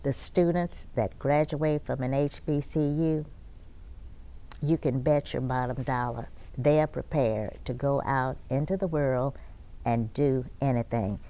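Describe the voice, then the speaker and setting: A woman giving an emphatic, measured interview answer as an alumni president. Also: rumbling low, mellow. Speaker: college alumni association president.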